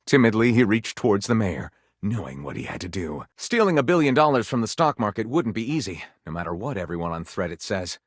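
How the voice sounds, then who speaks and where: Theatrical pulp detective narrator for a game, tongue in cheek, super swoopy dramatic delivery, very dry sound. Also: male voice, fairly low pitch, no echo. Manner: melodramatic, arch, tongue-in-cheek. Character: theatrical storyteller narrating pulpy detective adventures with mock gravity. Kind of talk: dramatic scripted story narration.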